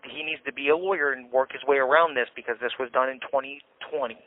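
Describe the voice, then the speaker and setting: A man's voice: mid-range voice, fairly even intonation, quick pace. A man with an American accent talking casually on a phone call from jail, venting and explaining himself insistently. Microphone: telephone handset. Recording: tinny, band-limited jail phone line.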